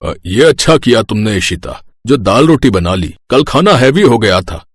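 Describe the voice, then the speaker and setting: A man's voice: on the low side, sing-song, moderate pace, husky, dry recording, studio quality. A man as a jovial older father-in-law. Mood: pleased, joking. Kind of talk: acted Hindi family storybook dialogue.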